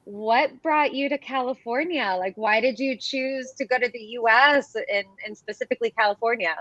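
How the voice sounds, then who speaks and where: A young woman from Ohio hosts a live interview, upbeat and quick to cheer her guests on. Her voice sits a little high. The sound is dull and lo-fi, dry, with no room behind it. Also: fairly bright tone, no echo. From Ohio, USA. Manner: upbeat, says "awesome" often. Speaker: host.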